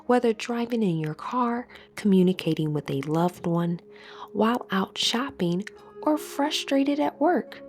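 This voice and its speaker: A woman leading a scripted guided meditation as an instructor, in a soothing, measured, softly breathy delivery. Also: mid-range voice, animated. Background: soft background music.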